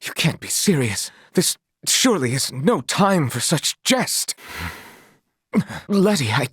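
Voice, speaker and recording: A man voicing an earnest, honorable young knight in a video game, speaking in formal, courtly, old-fashioned phrasing with a husky voice. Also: fairly high pitch, sing-song, slow pace, a bit bright, no echo, studio quality, heavily acted. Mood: startled.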